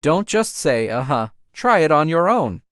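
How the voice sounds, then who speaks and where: A man playing a gentle, teasing young coffee shop owner in a romance comic dub. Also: a little high, very animated, a little slow, no room sound, clean studio sound.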